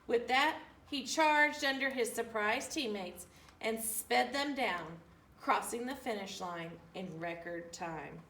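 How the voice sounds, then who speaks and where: A woman reading a children's storybook aloud with an animated, deliberate, singsong delivery. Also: on the high side. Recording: roomy, distant mic, faint room noise.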